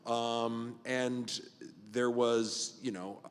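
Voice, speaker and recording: A man's voice: mid-range voice, flat delivery, extremely slow, smooth, big-room sound. A man in his fifties, a pastor hosting a casual podcast chat, talking loosely with lots of fillers. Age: 50s.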